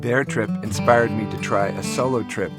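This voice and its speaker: A middle-aged man telling the story of his travels in a relaxed, friendly voice-over. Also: lowish voice, moderate pace. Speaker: vlogger host. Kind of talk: scripted voice-over narration. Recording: voice-over with guitar music behind.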